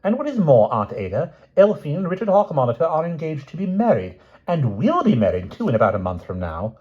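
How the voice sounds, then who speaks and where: A man voicing a sensible young woman who speaks loudly and clearly. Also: low-pitched, steady tone, fast talker. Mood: declaring. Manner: loud and clear. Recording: dull lo-fi recording.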